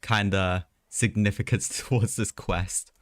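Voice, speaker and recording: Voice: low voice, steady tone, measured pace, a little hiss or hum. A game streamer giving casual, reactive live commentary in short, chatty interjections. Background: game music.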